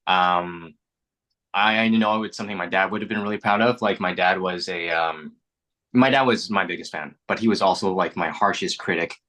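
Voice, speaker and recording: A man's voice: low-pitched, normal speed, pushed and bright. A young adult actor from Canada whose first language was Mandarin, talking earnestly and reflectively in an interview, often restarting his words. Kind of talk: casual interview chat.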